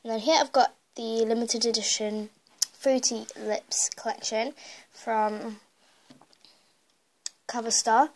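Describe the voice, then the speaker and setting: Hoarse young woman with a cold. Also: on the high side, fairly even intonation, takes it slowly, pauses often, a little mellow. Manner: chatty, rambling with many fillers. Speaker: beauty vlogger. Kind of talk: casual makeup collection tour. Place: bedroom at home, indoors. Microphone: phone camera held in hand.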